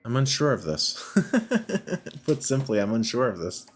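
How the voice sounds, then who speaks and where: A man's voice: medium pitch, expressive, fairly quick. A man giving casual let's play commentary, thinking aloud and often questioning himself as he goes. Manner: musing, self-questioning. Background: background music.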